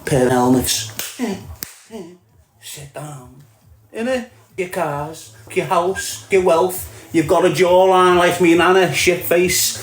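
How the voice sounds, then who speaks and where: A man's voice: high-pitched, slow, roomy sound. A man with a Scouse accent ranting in a casual monologue, mocking and crude, laughing at his own jokes. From Liverpool, England. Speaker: online streamer.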